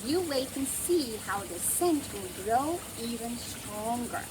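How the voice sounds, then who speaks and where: A woman's voice: fairly high pitch, animated, takes it slowly, background noise. A woman voicing a loving, wise storybook grandmother who explains things patiently and tenderly.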